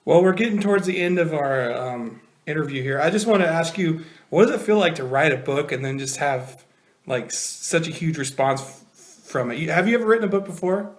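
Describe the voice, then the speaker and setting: A man co-hosting an interview, talking in a relaxed, hesitant way with lots of fillers. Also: on the high side, fairly quick, reverberant. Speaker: co-host interviewer. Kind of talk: casual interview.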